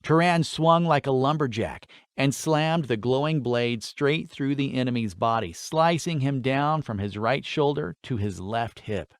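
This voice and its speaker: A man's voice: mid-range voice, unhurried, hardly any pauses, no echo. Male fantasy audiobook narrator, dry close sound. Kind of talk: scripted fantasy story narration.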